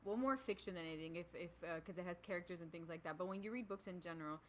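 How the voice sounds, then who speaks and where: A woman's voice: on the low side, rapid-fire, a little hiss or hum. A woman co-hosting a podcast in casual chat, asking questions with hesitant fillers. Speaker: podcast co-host.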